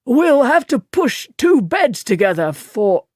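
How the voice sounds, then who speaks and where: Woman doing a fussy village man, squeaky and loud, rambling, nervous stammer, dry sound. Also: big pitch swings, slow pace, no echo, heavily acted. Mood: fussing. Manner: breathless gossiping, stammering when nervous. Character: flustered, chattering middle-aged village man.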